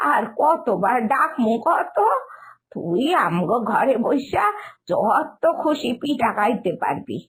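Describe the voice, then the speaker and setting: A woman playing a doting, insistent old village grandmother in a cartoon, with an East Bengali accent and slow, coaxing, drawn-out speech. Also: high-pitched, breathy edge, full character voice. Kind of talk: animated moral-story cartoon acting.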